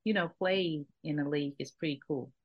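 A woman from Texas hosts a casual podcast interview in a notably low voice. She talks with enthusiasm and chatty warmth of manner, leaning on fillers and restarting her sentences as she goes. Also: brisk, lo-fi sound. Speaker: podcast host.

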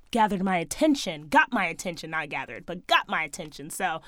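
A woman hosting her own podcast in a sassy, chatty way, talking straight to her listeners, her voice a little hoarse. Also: on the low side, sing-song, normal speed, a bit bright, no room sound. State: slightly hoarse, losing her voice. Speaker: podcast host. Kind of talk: casual solo podcast chat.

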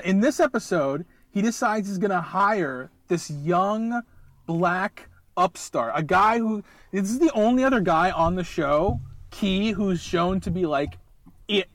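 A man's voice: high-pitched, a little slow, fairly bright tone, no room sound. A man co-hosting a casual podcast, joking and teasing, laughing often as he talks. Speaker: podcast co-host. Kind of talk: casual chat.

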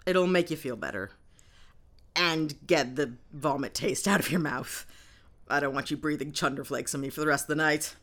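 A woman's voice: deep voice, moderate pace, a bit bright. A woman playing a brash, jokey, chummy squad boss in a science fiction audio drama. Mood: chummy, looking after. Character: cocky, gregarious female squad boss in space. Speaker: sergeant.

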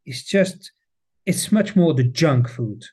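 Swiss man with a mellow French accent. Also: mid-range voice, expressive, slow, breathy edge. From Switzerland. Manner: restarts and repeats words, passionate emphasis. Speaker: guest, cheese marketing head. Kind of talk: podcast interview. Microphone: remote, on the road.

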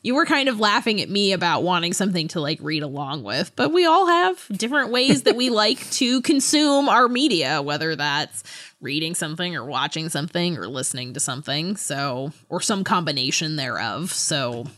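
A woman co-hosting a podcast, chatting casually about books and fandom in an animated, playfully dramatic way. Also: fairly low pitch, moderate pace. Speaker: podcast co-host. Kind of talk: casual book and fandom chat.

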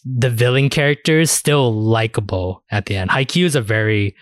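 A man's voice: medium pitch, normal speed, dry recording, studio quality. A man hosting a casual anime discussion, talking in a rambling, slangy way with casual swearing. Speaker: podcast host.

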